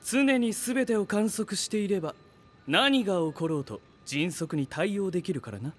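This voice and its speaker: Casting: coolly arrogant boy-genius researcher, high voice, pompous formal diction, anime drama, soft music under. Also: lively intonation, normal speed. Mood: lecturing, cool. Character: haughty, logical young male scientist-knight, coolly self-assured. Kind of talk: anime-style audio drama acting.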